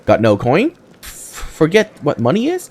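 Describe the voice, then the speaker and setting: A man's voice: on the high side, huge pitch swings, unhurried, darkish tone, husky, voice pushed up, full character voice. A man voicing a brash, greedy mongrel woman trader from a fantasy game, with blunt hawker's patter. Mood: brash, hard-selling.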